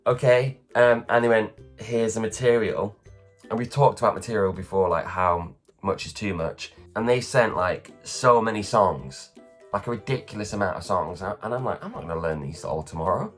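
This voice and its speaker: A man in his thirties from England, telling self-deprecating stories in a casual morning vlog, his voice low and worn after a late night. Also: normal speed, faint music behind. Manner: chatty, self-deprecating, acts out others' lines. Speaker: vlogger, performer. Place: at home.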